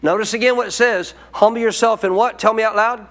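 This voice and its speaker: A man's voice: high-pitched, fairly even intonation, brisk, fairly bright tone. A man preaching a sermon as pastor, teaching in a colloquial call-and-response manner.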